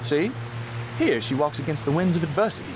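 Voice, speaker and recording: An actor playing a smug, cool Venusian alien go-between with a dry, precise, haughty manner in a comic sci-fi radio drama. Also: male voice, a little high, lively intonation, a little fast, background noise, lightly acted. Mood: haughty, matter-of-fact. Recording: music under the voice.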